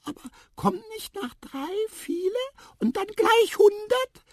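A performer voicing a tiny, cheeky, curious talking mouse with a piping squeak, lively and chirpy, in a children's story. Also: unhurried, dry recording, studio quality. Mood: insistent, wondering.

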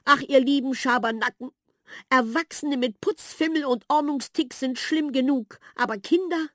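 A woman voicing an excited, anxious little female house mouse who talks in a high, trembling, pleading voice. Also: normal speed, dry recording, clean studio sound. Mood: lamenting.

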